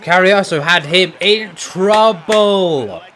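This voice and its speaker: A young man casually commentating a fight video game, excitable and breaking into shouts at big moments. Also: high voice, takes it slowly.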